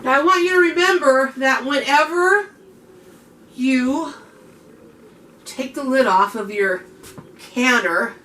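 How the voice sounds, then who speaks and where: High-voiced woman losing her voice. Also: slow pace, pauses often, pushed and bright, slight background noise, rough recording. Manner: homey, chatty instructions to viewers. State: losing her voice, clearing throat. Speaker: home cook host. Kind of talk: home canning tutorial.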